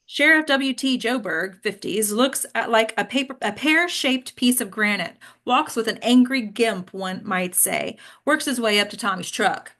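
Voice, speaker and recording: A woman's voice: a little high, a little slow, few pauses, fairly bright tone. A woman reading stage directions as scripted narration at a table read.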